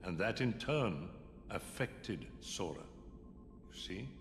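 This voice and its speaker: A sinister older schemer drawls pompously in a video game. The delivery is very slow and swoops theatrically. It rings through an echoing hall. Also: male voice, low voice, big pitch swings, full character voice. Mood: smug, secretive. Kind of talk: video game cutscene acting. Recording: echoing hall reverb, faint noise.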